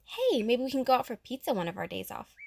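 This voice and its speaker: A woman's voice: fairly high pitch, sing-song, fast, gravelly, slight background noise. A woman playing a friendly, curious teenage girl in an amateur audio drama, chatty and eager.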